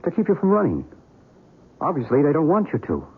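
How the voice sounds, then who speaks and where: Earnest mystic believer, thin radio sound. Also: male voice, a little high, wildly swooping pitch, fairly quick, husky, phone-quality sound, full character voice. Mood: earnest, persuasive. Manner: earnest, philosophical, persuasive. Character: earnest male mystic believer in otherworldly visitors. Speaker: actor in a radio drama. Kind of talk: old-time radio acting. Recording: noisy, thin old radio recording.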